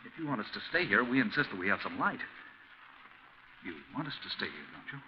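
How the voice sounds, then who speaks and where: An actor playing a blunt, folksy, skeptical American husband in a 1940s radio drama, practical and exasperated. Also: male voice, on the low side, very animated, quick pace. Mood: puzzled, insistent. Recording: muffled, very hissy 1940s radio recording.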